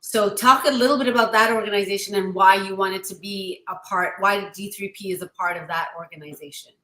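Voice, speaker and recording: A woman's voice: mid-range voice, brisk, bright, forceful tone, echoey room, poor audio. A woman hosting a podcast interview, asking questions in a casual, chatty way. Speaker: podcast host.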